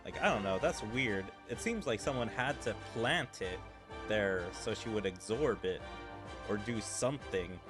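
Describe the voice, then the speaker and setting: A man's voice: on the low side, lively intonation, unhurried. A man doing casual gaming commentary as a streamer, thinking aloud in a musing, speculative way. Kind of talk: casual commentary, reading game dialogue aloud. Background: game music.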